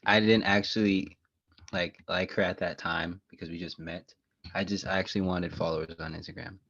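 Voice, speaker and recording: An eighteen-year-old man chatting on a podcast in a halting, deadpan way. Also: sits low, dull audio. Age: young adult. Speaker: co-host. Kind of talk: casual chat.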